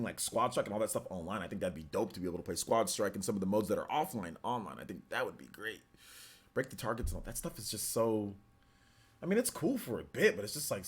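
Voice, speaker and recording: A young man hosting a live stream, chatting casually and answering viewer comments in an animated, incredulous way, with many restarts. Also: fairly low pitch, husky.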